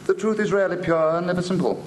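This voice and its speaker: An actor playing a flippant, witty young English gentleman of leisure, with upper-class accent and arch, precise diction in a classic stage comedy. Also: high-pitched, steady tone, fast talker, close to an everyday voice. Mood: gleeful, smug. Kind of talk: classic stage comedy audio drama, old-fashioned acting. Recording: old recording with faint hiss.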